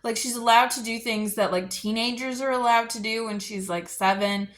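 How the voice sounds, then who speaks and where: A woman's voice: a little high, fairly even intonation, normal speed. A woman hosting a book video, talking casually and conversationally, with informal asides as she goes. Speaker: book review video host. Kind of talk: casual chatty book discussion.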